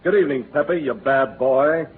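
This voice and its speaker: A man's voice: medium pitch, moderate pace, lightly acted. Male actor as a stiff, officious police inspector; tinny old radio with faint hiss. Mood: warning. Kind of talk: 1940s radio comedy acting.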